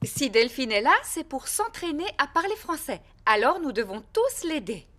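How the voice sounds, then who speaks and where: Animated high-voiced mother pig. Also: normal speed, heavily acted. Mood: kindly. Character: Maman Pig, kindly cheerful mother pig. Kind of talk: French cartoon dub.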